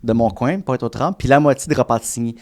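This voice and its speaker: A man in his thirties with a Quebec French accent, a real estate broker chatting casually as a podcast guest, often restarting his sentences and filling pauses. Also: medium pitch, darkish tone. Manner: rambling, many restarts and fillers.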